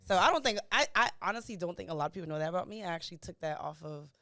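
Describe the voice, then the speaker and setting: A young woman from Miami chats as a podcast guest, animated and quick to laugh. Her voice sits a little low and sounds smooth and clear, recorded close and dry. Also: no room sound. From Miami, USA. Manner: chatty and animated, laughs often, quotes her own thoughts. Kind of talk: casual interview chat.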